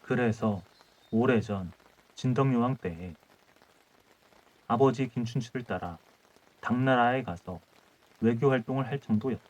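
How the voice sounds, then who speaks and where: A man narrating a folk tale as a calm audiobook reader, reading in measured short phrases. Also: medium pitch, poor audio. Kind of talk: calm bedtime storytelling.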